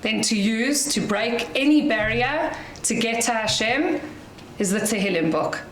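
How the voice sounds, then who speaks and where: A South African woman with a loud voice gives an inspirational talk to an audience as guest speaker, chatty with light laughs. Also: fairly high pitch, animated, measured pace, reverberant, slight background noise, poor audio. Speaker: guest speaker at a community dinner.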